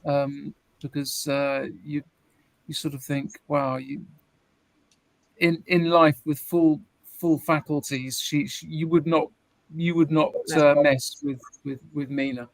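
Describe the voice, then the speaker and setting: A woman chatting as a livestream guest in a hesitant, polite, measured way. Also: sits low, level tone, no room sound, poor audio. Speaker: cast guest on a Q&A. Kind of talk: casual livestream chat.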